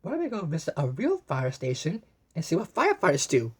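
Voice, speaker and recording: Woman putting on an eager, high-pitched cartoon puppy girl. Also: wildly swooping pitch, a little fast, breathy edge, full character voice. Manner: exclamatory, eager. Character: excitable young female cartoon puppy, bossy and cheerful.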